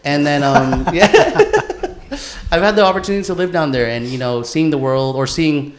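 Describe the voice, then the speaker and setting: A man chatting casually as a podcast host, excitable and slangy, often repeating his phrases. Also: fairly high pitch, moderate pace, noisy background. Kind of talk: casual chat.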